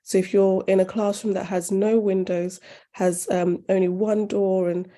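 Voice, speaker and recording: A woman from northern Uganda, raised in the UK, who founded a charity, speaking at a forum in a measured, earnest way with some pauses. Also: mid-range voice, little pitch movement, mellow. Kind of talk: forum talk and answering questions.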